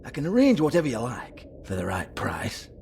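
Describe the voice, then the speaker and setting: A man's voice: medium pitch, wildly swooping pitch, fairly quick, gravelly, a little mellow, a little hiss or hum. An actor playing a bullying, sleazy male brothel boss in a video game, swearing, mocking and berating. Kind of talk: video game cutscene acting.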